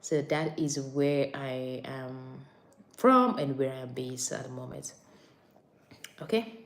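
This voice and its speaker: Low-voiced woman chatting on a livestream. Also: slow pace. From Nigeria. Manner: chatty, repeats herself, reads out comments. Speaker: anesthetics and recovery practitioner. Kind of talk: live stream chat answering viewer questions. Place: on a break during night duty.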